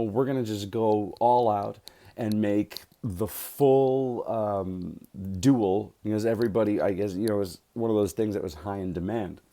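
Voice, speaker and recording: A man's voice: fairly low pitch, dark tone. A man, a voice actor, talking casually to his viewers in a rambling way, with many fillers and restarts. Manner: grateful, chatty. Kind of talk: casual vlog talk to camera.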